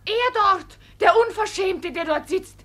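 An actress playing a desperate, outspoken young village maiden, urgent and accusing, in a classic radio comedy. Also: female voice, extremely high pitch, measured pace, fairly bright tone. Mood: outraged.

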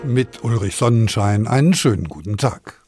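A man's voice: fairly low pitch, expressive, moderate pace, over music. A man presenting a radio talk programme from a studio, moving between scripted moderation and interview questions. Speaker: radio host.

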